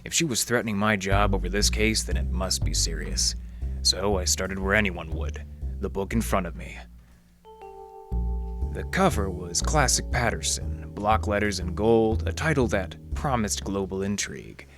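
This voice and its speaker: Guy doing hardboiled radio detective, low voice, dry deadpan wisecracks, music underneath. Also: normal speed. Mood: world-weary, wry. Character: wry, hard-boiled male library detective. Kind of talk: 1940s radio detective acting, narration and dialogue.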